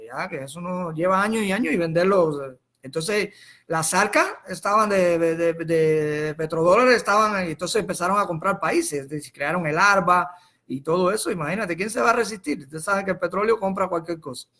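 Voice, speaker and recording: High-pitched, bright Cuban man explaining informally. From Cuba. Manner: halting, repeats words and phrases; rhetorical questions to the listener. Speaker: political commentator on a live stream.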